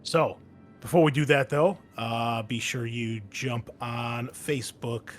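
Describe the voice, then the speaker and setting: A man hosting a tabletop game podcast as game master, upbeat and jumping louder on key words. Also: mid-range voice, steady tone, slow pace, faint music behind.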